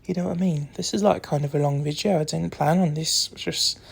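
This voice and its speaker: A young adult content creator talks in a put-on British accent. The voice is husky and creaky, sitting at a level, unhurried register and often trailing into breath. The delivery is soft, candid and meandering, like a casual confessional recorded on a phone. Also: medium pitch, steady tone. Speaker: social media content creator. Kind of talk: casual rambling confessional chat to followers.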